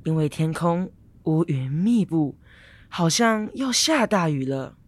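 A man's voice: on the high side, expressive, slow pace, no room sound. A teenage boy reading scripted narration for a radio drama story.

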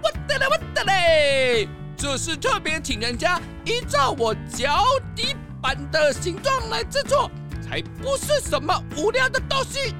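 A woman voicing an excitable, insecure young male ostrich in a children's audio drama, stammering and whiny. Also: very high voice, unhurried, over music, heavily acted. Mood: indignant.

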